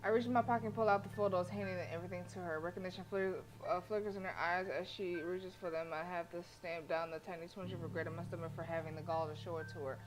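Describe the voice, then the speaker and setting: Female streamer, lowish voice, expressive, reads visual novel narration and chats, music behind. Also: quick pace, hardly any pauses, poor audio. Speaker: game streamer. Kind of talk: livestream reading of narration with chatty reactions.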